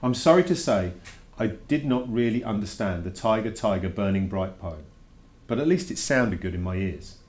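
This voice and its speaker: A man's voice: on the low side, animated, moderate pace, a little mellow, close to an everyday voice. A man voicing a reluctant schoolboy who puts emphatic, grudging questions to his teacher in a children's storybook read-aloud. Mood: earnest, thoughtful. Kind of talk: dramatic read-aloud of a children's verse novel.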